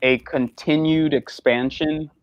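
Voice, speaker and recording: A man's voice: a little high, fairly even intonation, slow, no room sound. A man speaking as an actor on a panel, earnest and thoughtful, building his ideas gradually. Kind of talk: reflective panel conversation. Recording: dull, slightly lo-fi online audio.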